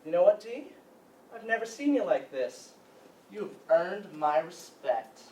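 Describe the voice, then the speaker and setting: A man's voice: sits high, big pitch swings, measured pace, dark tone. A man playing a swaggering, taunting schoolyard bully in a staged play reading. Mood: mock solemn. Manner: taunting, mock-menacing. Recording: echoey room, distant mic.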